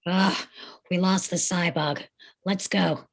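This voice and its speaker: A woman playing a tough, no-nonsense female soldier who is curt and commanding, in an animated film table read. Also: lowish voice, takes it slowly.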